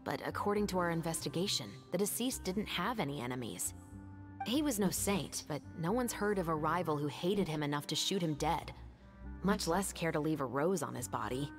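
An actress playing a calm, methodical detective captain who speaks in a measured, serious way. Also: female voice, low-pitched, soft background music. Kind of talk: video game voice acting.

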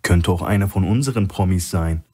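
A man's voice: low-pitched, a little fast, warm tone, husky. A man voicing a big, blunt, sceptical male operative in a thriller audiobook. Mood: blunt, wary.

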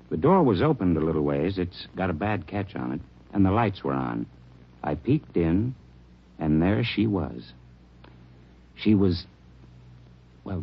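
Nervous, husky hotel manager. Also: male voice, deep voice, full character voice. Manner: hesitant, rambling, defensive. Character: nervous, defensive small-hotel manager, folksy man. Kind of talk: 1940s-50s radio acting. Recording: dull old-time radio recording.

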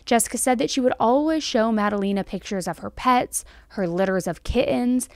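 A woman's voice: on the high side, fairly quick, a little mellow, no echo. An American woman in her thirties hosting true crime commentary, speaking in a conversational, emphatic way into a close podcast microphone. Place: small indoor studio set. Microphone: close podcast microphone on stand.

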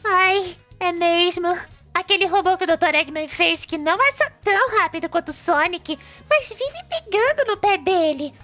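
A woman voicing a perky, sassy young female hedgehog guesting on a cartoon web show, bubbly and expressive, with a Brazilian accent. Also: very high voice, normal speed, faint music behind, heavily acted. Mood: chatty.

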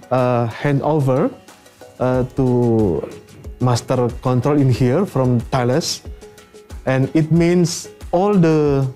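A man in his fifties with an Indonesian accent, a company executive answering interview questions in halting English full of "uh" fillers and restarts. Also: on the high side, darkish tone. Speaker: company president director, guest. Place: bright office studio set.

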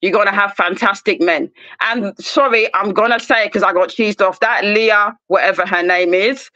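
A woman calls in to argue her view emphatically, pausing for apologetic asides. Her voice is bright and tense, and it is heard dry with no room sound around it. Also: mid-range voice, no echo, muffled recording. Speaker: caller. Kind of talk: phone-in debate.

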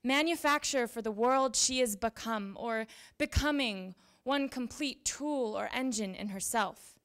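High-voiced young woman reading letters, creaky. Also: unhurried, a bit bright. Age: young adult. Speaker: reader at a library event. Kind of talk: dramatic reading of historical letters. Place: indoor event room with small audience. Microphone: handheld microphone.

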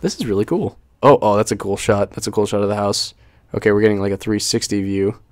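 A young man with a fairly low voice reacts casually to an unboxing video. He jokes and swears as he comments off the cuff. The recording is dry and close. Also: quick pace, no echo. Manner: joking, sweary, easily amused.

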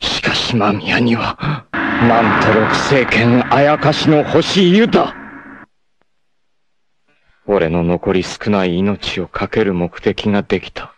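A proud, self-sacrificing martial-arts warrior in an anime. His voice sits low and moves very slowly, with dramatic swings in pitch. The delivery is solemn and theatrical, yet tender. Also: lively intonation, background noise. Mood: resolute. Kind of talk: dramatic anime acting, monologue and narration.

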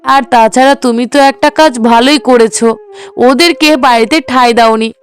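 A high female voice plays a resentful sister in a cartoon drama, acted by a woman narrator. She sounds indignant and judgmental, with a gossipy way of talking. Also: a little fast, lightly acted. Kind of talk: melodramatic cartoon acting.

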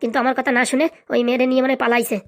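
A performer voicing an indignant, boastful young man in a comedy cartoon, excitable and swaggering, with a rural Bengali accent. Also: sits high, rapid-fire. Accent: Bengali, rural dialect.

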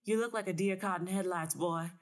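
A woman's voice: fairly low pitch, a little fast, natural voice. A woman voicing a knowing Southern woman seer in a story, folksy, solemn and assured. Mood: amused, knowing.

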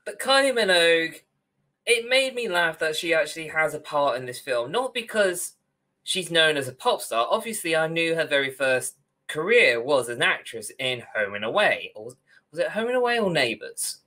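A man's voice: fairly high pitch, big pitch swings, normal speed, pushed and bright. A young British man co-hosting a podcast in a casual, wordy, opinionated way. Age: young adult. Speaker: podcast co-host. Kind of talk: casual ranting discussion. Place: indoor room at home, on video call.

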